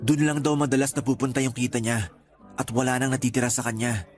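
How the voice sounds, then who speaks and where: A man's voice: medium pitch, fairly even intonation, fast talker, lightly acted. A man plays a lovestruck young Filipino suitor in a radio drama. He has a mid-range voice and sounds earnest and defensive, with faint music behind him. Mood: lovesick, defensive. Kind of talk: radio drama acting in casual dialogue.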